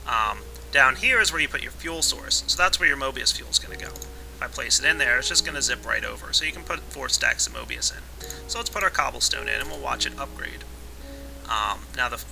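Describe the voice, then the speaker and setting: A man with a raspy voice today, casually explaining a modded game as its let's play host, with relaxed fillers. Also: mid-range voice, rough recording. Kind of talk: casual gameplay tutorial narration.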